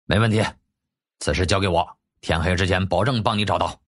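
Man doing a gruff, low-voiced warrior uncle. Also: a little fast. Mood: helpful, blunt. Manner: blunt, grumbling. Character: gruff, blunt middle-aged warrior uncle.

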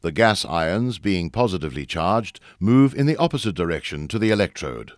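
Deep-voiced male instructional narrator, very lively intonation. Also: dry recording, clean studio sound. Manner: measured, formal explaining. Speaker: narrator of a training video. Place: close, dry studio voice-over.

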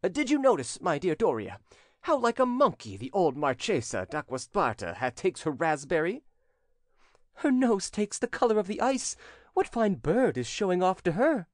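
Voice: medium pitch, big pitch swings, moderate pace, no room sound, clean studio sound, pitched above the performer's own voice, lightly acted. A storybook narrator voicing a foppish young aristocratic officer, gossiping in an arch, mocking way. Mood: mocking, amused.

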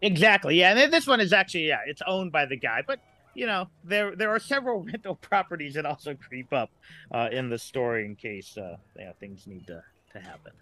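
A middle-aged man in casual podcast chat as a guest, rambling haltingly and repeating words as he explains. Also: fairly high pitch, animated, sharp tone.

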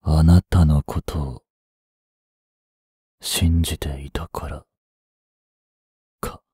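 A young man in his twenties playing a treacherous, smooth-tongued captive prince and spy in a Japanese audio drama, with courteous, theatrical speech that can turn mocking. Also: very deep voice, little pitch movement, dark tone, no echo, clean studio sound, full character voice. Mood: earnest pleading. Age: 20s. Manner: slow, theatrical, courteous speech slipping into taunts. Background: background music.

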